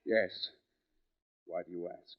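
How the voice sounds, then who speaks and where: An actor playing a brooding, tormented husband, both menacing and tender, with intense, halting melodrama in 1940s radio mystery style. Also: male voice, deep voice, steady tone. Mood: guarded menace. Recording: dull old radio recording.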